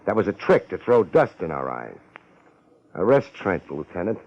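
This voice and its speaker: A cocky, wisecracking hard-boiled detective in a vintage radio play. His voice sits low and moves in lively swings, with sardonic slang. The old recording is dull and muffled. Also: normal speed. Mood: assured, decisive. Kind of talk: 1950s radio detective drama acting.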